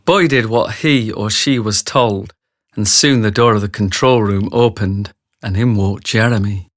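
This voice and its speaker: A man's voice: lowish voice, measured pace, no room sound, studio quality. A man reading scripted narration for a radio drama, telling the story.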